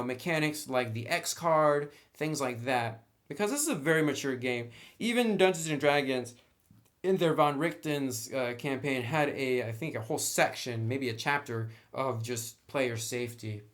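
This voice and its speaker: Male reviewer, medium pitch, informal and conversational delivery, freely giving opinions. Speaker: tabletop game book reviewer. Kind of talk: casual review commentary.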